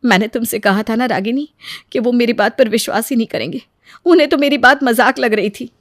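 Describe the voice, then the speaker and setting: Woman acting a high-voiced, meek daughter-in-law. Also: fairly quick, no echo, clean studio sound, close to an everyday voice. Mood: hurt, earnest. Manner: plaintive, earnest. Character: meek, wronged young daughter-in-law, pleading and long-suffering. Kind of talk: Hindi moral story voice acting.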